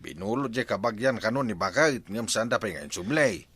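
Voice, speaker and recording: A man's voice: on the low side, lively intonation, normal speed, a bit bright, husky. A man with an Ilocano accent playing a bickering husband in a comedy drama. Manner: bickering, exclaiming.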